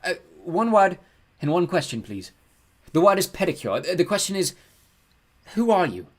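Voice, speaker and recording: A man voicing a plucky, cheeky teenage girl magician in an audiobook, with a polite, quick-thinking manner. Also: high-pitched, sing-song, voice pushed up. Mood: nervous politeness.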